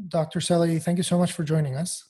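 A man hosting a podcast, presenting in an orderly way and putting questions to a guest, with a husky voice. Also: a little high, flat delivery, fast talker, mellow, faint music behind. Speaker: podcast host. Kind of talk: scripted podcast introduction and interview questions.

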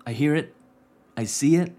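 A man's voice: a little high, lively intonation, moderate pace, mellow. A man in his thirties hosting a coaching podcast, talking earnestly and repeating key phrases for emphasis. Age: 30s. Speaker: podcast host and business coach. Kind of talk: casual reflective monologue.

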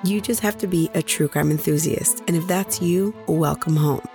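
Hoarse, gravelly woman hosting a podcast. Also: low voice, moderate pace, darkish tone, soft background music. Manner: chatty, direct address to listeners. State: nearly lost voice, hoarse. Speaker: podcast host. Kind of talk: true crime podcast narration.

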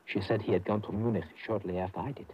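An actor playing an anxious, conspiratorial sanatorium doctor, hesitant and questioning, in a 1950s radio drama. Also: male voice, lowish voice, fairly even intonation, fast, slightly rough, highs cut off. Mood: hushed, conspiratorial. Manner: hesitant, ends phrases with questioning "eh". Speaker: supporting actor. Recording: noisy old radio broadcast.

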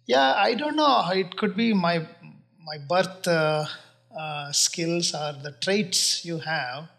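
A man in his forties speaks with an Indian accent in a notably high voice. He answers questions earnestly and at a measured pace. He pauses often and fills the gaps with soft fillers. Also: creaky. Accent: Indian English. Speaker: real estate investor guest. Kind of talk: casual interview answers.

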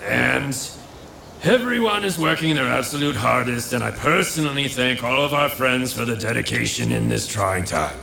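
A man playing a weary, blunt older village farmer with a gravelly voice, speaking emphatically in an audio drama. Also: mid-range voice, moderate pace. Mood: weary, earnest. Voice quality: gravelly, creaky. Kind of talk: scripted audio drama acting. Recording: echoey with clear crowd noise.

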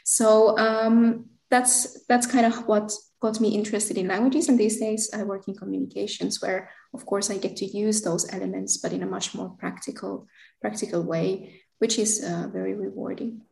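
A woman's voice: medium pitch, level tone, vocal fry, darkish tone. Finnish-accented woman in an echoey room. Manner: measured, explanatory. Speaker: podcast guest. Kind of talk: interview answers. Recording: echoey room with faint music.

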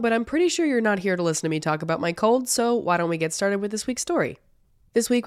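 A woman hosts a true crime podcast while fighting a cold, and her voice sounds congested. She talks in a casual, chatty way and sits fairly low in her range. The sound is dry and close, with no room around it. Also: dry recording. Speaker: podcast host.

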